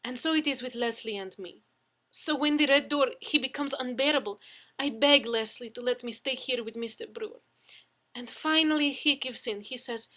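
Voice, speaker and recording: A woman's voice: fairly high pitch, bright, forceful tone, pitched above the performer's own voice. A woman voicing a coy, effusive young Russian woman who speaks broken English with a Russian accent in a story. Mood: earnest, tender. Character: coy, theatrically pleading young foreign woman, Russian fiancée.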